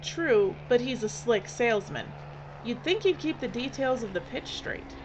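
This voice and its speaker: A woman acting a brisk, sardonic, no-nonsense film director in an audio drama. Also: a little high, animated, lightly acted. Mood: wry, suspicious. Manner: bossy, wry. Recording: dull, slightly noisy recording.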